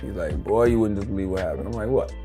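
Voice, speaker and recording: A man's voice: on the low side, fast talker. An American man, an interview guest, tells a story casually and with animation. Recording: music laid under interview clip.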